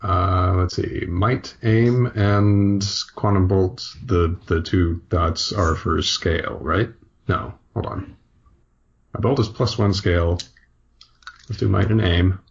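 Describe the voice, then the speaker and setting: Deep, gravelly man at a game table. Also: slow pace, highs cut off. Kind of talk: casual game table chat.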